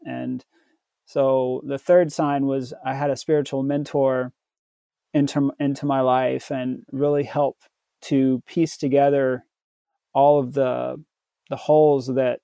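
Slow-talking American man, mellow voice. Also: medium pitch, gravelly, no room sound. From southern United States, Tennessee. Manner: halting, pausing often mid-sentence. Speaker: podcast guest. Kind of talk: reflective personal storytelling in an interview.

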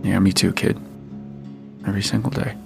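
A man playing a worn-down father in a post-apocalyptic radio drama, speaking quietly and gently to his daughter. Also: sits low, steady tone, normal speed, creaky, over music. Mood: wistful. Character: weary father, survivor in a wasteland.

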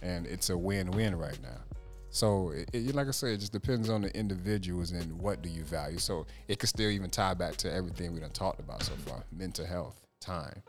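Deep voiced guy, rambling host at a podcast table, music going in the background. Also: brisk. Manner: rambling, loose, repeats "you see what I'm saying". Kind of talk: casual chat. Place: small room, group podcast around a table.

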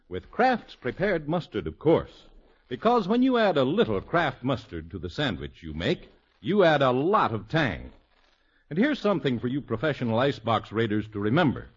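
A male commercial announcer in 1950s radio style, with a husky voice and very animated intonation. The old broadcast recording sounds narrow and muffled. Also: mid-range voice. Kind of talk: 1950s scripted radio advertising.

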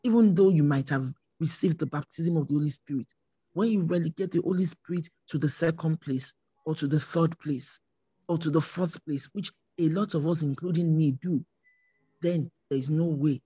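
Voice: medium pitch, moderate pace, dark tone, husky, dry recording, low-quality recording. A preacher giving an earnest sermon with personal testimony, repeating phrases for emphasis.

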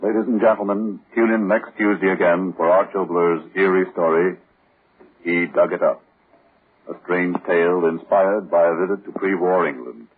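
An American man works as a 1940s radio announcer, delivering sponsor copy. His voice is fairly low and very rough-edged. It comes through a thin, muffled old broadcast recording. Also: steady tone, moderate pace.

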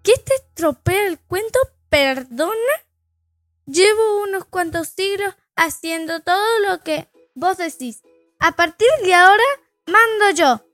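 Rebellious little fairy-tale girl, very high voice, fed up, bossy, sassy, comic audio story, faint music behind. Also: measured pace, bright, forceful tone, no echo, exaggerated voice. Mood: defiant. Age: young girl figure. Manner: sassy, impatient, interrupting. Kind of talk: comedic audio fiction acting.

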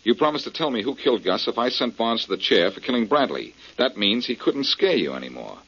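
An actor playing a shrewd, confident, wisecracking male detective in a brisk 1940s radio style. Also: mid-range voice, big pitch swings, a bit bright, breathy edge, full character voice. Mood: shrewd, pressing. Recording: muffled, worn old radio broadcast.

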